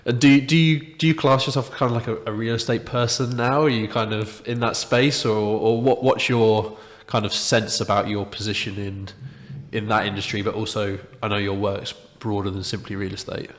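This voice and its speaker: Man, podcast host, friendly, halting questions, low voice, echoey room. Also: steady tone, slight background noise. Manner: friendly, halting, repeats words. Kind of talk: interview questions. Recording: echoey room with long reverb.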